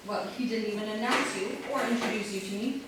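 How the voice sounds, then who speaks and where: A woman's voice: mid-range voice, brisk, natural voice. Woman playing a haughty lady, very echoey recording. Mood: condescending. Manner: arch, formal, condescending. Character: haughty, elegant society lady, coolly sharp. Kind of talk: staged reading of a stage comedy. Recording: very echoey, distant mic, noisy background.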